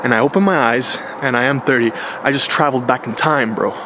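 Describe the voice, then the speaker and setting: A man in his thirties talks his thoughts through in a loose, conversational way, dropping slangy asides as he goes. His voice sits at an ordinary middle pitch. Steady street traffic hums clearly behind him. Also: fast talker. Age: 30s. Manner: relaxed and chatty, with slangy asides. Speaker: vlogger. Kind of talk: casual reflective monologue.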